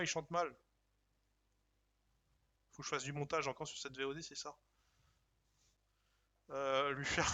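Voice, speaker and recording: A man's voice: fairly high pitch, pushed and bright. A man streaming a game, reading options aloud and joking in casual commentary.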